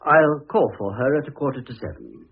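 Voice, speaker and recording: An actor in an old-time radio drama playing a pompous, self-assured gentleman suitor with a condescending manner. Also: medium pitch, a little fast, slightly rough. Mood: brisk, self-satisfied. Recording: muffled, narrow-band old radio recording.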